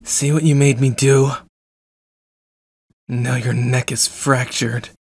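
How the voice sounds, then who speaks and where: Man acting a slow, menacing jealous stalker. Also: mid-range voice, flat delivery, background noise. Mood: angry, agitated. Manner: deliberate, cold, clipped, threatening delivery. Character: jealous, controlling, menacing young male stalker. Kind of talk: ASMR roleplay monologue.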